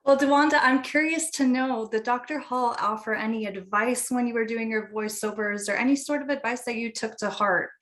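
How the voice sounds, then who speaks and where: A woman interviewer speaks in a fairly high voice. She puts her questions politely, in the conversational style of an interview. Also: fairly quick, few pauses, fairly bright tone.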